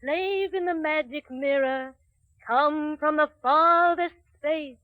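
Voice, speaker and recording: High-voiced, imperious evil queen. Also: takes it slowly, no echo, phone-quality sound, faint music behind. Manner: imperious, theatrical incantation. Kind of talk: 1930s radio acting.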